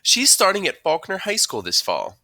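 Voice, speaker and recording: A man voicing a gentle, concerned and affectionate mother in an audiobook, speaking in a tender, coaxing, emphatic way. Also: sits high, wildly swooping pitch, normal speed, no echo, pitched above the performer's own voice, exaggerated voice. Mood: apologetic, chatty. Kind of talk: audiobook character dialogue.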